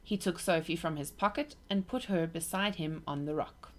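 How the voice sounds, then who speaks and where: A woman reading a children's book aloud for her nieces, in an expressive storytelling manner. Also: on the low side, moderate pace.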